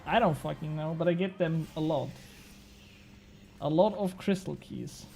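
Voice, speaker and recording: A man's voice: a little high, measured pace, vocal fry, a little mellow, faint music behind. A young man from Switzerland, a native Swiss German speaker, chatting casually with his viewers while he plays a game. He rambles, mocks himself, swears casually and laughs a lot. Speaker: game streamer. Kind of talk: casual live-stream chat while gaming.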